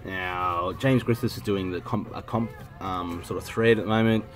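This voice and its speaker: An Australian man with a blocked, cold-stuffed voice, chatting casually and rambling, often stopping to clear his throat and correct himself. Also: sits low, fairly even intonation, normal speed. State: congested with a head cold. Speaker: vinyl collecting vlogger. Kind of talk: casual rambling chat to camera. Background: music playing softly.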